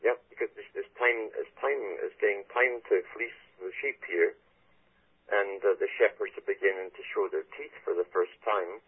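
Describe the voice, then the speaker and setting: A man hosting a radio talk show, speaking gravely and haltingly, with many repeated words. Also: on the low side, steady tone. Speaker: radio host. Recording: tinny phone-quality radio audio.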